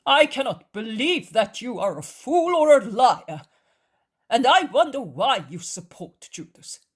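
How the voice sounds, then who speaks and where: A woman's voice: on the high side, sing-song, a little slow, slightly rough, voice pushed up, full character voice. A woman voicing a frank, troubled man in a story, reasoning and arguing emphatically. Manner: emphatic, argumentative.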